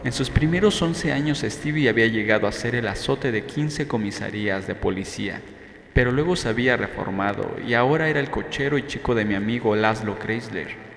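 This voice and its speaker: A man's voice: mid-range voice, a little fast, gravelly, fairly bright tone. A man reading a novel as an audiobook narrator, in scripted first-person storytelling. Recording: echoey reverberant room, faint background noise.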